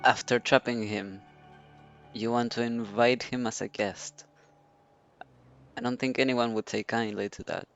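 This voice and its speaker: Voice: medium pitch, steady tone, measured pace, long pauses, a bit bright. A game streamer giving casual live commentary with dry, playful reactions. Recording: muffled stream mic, game music behind.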